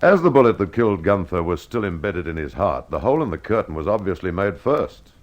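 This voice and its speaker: A man narrating a scripted old-time radio mystery as its host, with dramatic, measured storytelling. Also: low-pitched, sing-song. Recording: dull lo-fi old radio sound.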